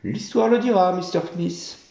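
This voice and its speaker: A man's voice: sits high, moderate pace, creaky, darkish tone. A man putting on a fawning monkey general. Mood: boastful. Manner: obsequious, chattering. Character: fawning male monkey general, tax collector. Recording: roomy, dull home recording.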